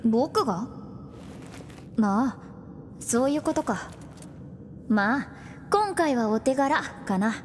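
Bright-spirited, high boyish sidekick, voiced by a woman. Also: lively intonation, slow, long pauses, long reverb tail, slight background noise. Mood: curious, smug. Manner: breezy, teasing, boastful. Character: cheeky, talkative young male sidekick. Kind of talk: audio drama acting.

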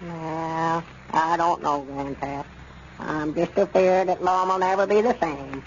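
An actor playing an excitable old country storekeeper with a rural Southern accent and a hesitant, stammering, folksy manner in a radio comedy. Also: male voice, a little high, unhurried. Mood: worried. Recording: dull, noisy old radio recording.

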